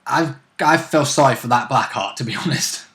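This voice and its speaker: A man's voice: medium pitch, moderate pace, fairly bright tone. A man giving casual, chatty commentary as the second commentator on a show.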